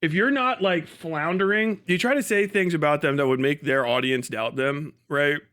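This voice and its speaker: A man livestreaming in casual chat, mocking and animated, swearing freely as he rants. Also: a little high, normal speed, clean tone. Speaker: live streamer.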